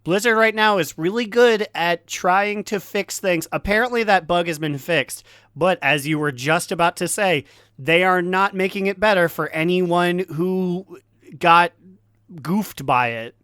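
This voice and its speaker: Smooth, clear voice in dry sound. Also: medium pitch, a little slow, fairly bright tone, no room sound. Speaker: podcast co-host. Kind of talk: casual gaming banter.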